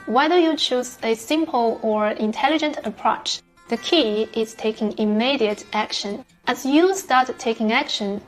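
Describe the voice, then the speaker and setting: Female, measured scripted read, slightly raised pitch, light music bed. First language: Chinese. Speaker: English learner sharing study tips. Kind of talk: scripted narration.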